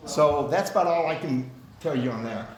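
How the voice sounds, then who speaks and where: A man with a loud voice, plain-spoken, chairing a community club meeting. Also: a little high, normal speed, roomy sound, slight background noise, rough recording.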